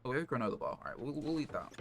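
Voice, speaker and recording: A man's voice: mid-range voice, sing-song, rapid-fire, a bit bright. A man live-streaming a game, thinking aloud in a casual, rambling and understated way. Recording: clear background noise, clicks.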